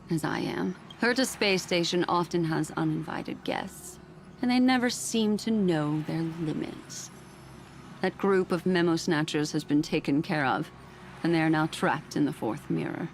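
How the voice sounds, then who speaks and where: A woman playing a composed, enigmatic keeper of memories in a video game, measured and poised. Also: low-pitched, slight background noise. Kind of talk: video game character acting.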